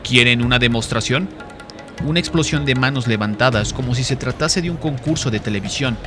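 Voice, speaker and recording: A man's voice: mid-range voice, fast talker. A man giving dramatic scripted narration of a story in a solemn, theatrical manner. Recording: music behind the voice.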